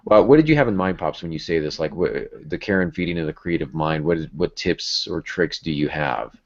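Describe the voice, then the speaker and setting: Very deep-voiced man hosting a podcast. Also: animated, a little fast. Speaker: podcast host. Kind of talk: casual conversational podcast talk. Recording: dull lo-fi podcast audio.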